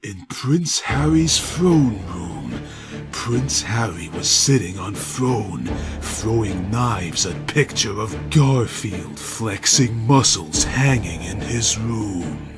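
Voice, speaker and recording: A man narrating a scripted dramatic story in a deliberate, mock-serious manner. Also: fairly low pitch, animated, music in the background.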